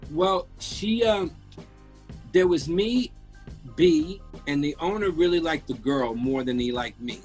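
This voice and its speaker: A man's voice: on the high side, slow pace, a little mellow, faint music behind, low-quality recording. A man in his fifties, born in France, telling his career story as a guest in a casual interview, candid and rambling with many restarts. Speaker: guest, music engineer.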